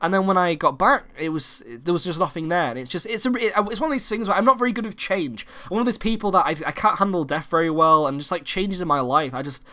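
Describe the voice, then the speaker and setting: Young man, croaky cold voice, high and lively pitch, quick rambling talk, bright tone, lots of fillers. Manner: rambling, many fillers and restarts. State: hoarse from a cold. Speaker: gaming channel host. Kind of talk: casual vlog to viewers. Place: home recording room.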